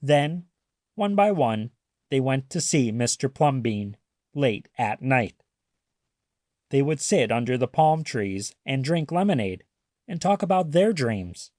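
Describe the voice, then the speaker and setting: A man reading a children's story aloud with deliberate, expressive emphasis. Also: mid-range voice, no room sound, clean studio sound. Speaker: storybook reader. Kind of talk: children's read-aloud narration.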